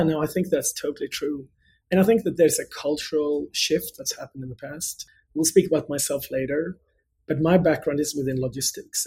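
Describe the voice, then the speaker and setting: A man's voice: fairly high pitch, darkish tone, breathy edge. A middle-aged man from Sweden with a mixed international Swedish accent, answering conversationally as an interview guest. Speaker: interview guest, company executive.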